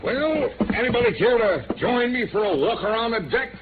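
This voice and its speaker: An actor playing a jovial, pushy, folksy globetrotter who drawls chummily in 1950s radio drama style. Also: male voice, very high-pitched, lively intonation, moderate pace. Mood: breezy, hearty. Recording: old noisy, narrow-band radio recording.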